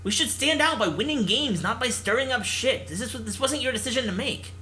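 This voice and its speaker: Man voicing a stern, high-pitched young woman. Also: expressive, fairly quick, pushed and bright, exaggerated voice. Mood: scolding. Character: stern, anxious young woman leading an esports team.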